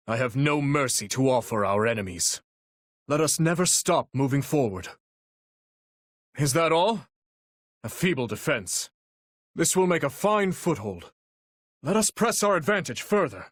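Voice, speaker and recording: An actor playing an earnest, courteous young warrior prince in a video game, speaking formally and resolutely. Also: male voice, medium pitch, expressive, a little slow, long pauses, no room sound, clean studio sound. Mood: stern, regretful. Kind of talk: video game battle voice lines.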